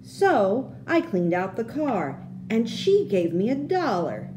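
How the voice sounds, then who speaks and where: A woman reading a children's storybook aloud, with expressive, deliberate phrasing for young listeners. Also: fairly high pitch, background noise.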